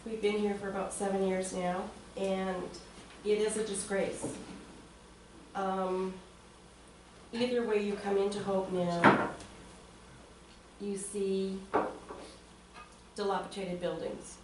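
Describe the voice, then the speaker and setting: A woman's voice: medium pitch, flat delivery, takes it slowly, pauses often, noisy background. Earnest woman speaking from afar in a hall. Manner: earnest, hesitant with frequent ums. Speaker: resident speaking at public comment. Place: council chambers. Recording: echoey chamber, distant mic.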